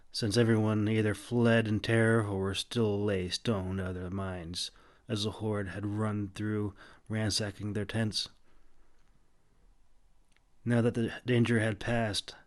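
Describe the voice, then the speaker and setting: Slow, low-pitched male audiobook narrator. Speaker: author reading own audiobook. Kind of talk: scripted fantasy narration.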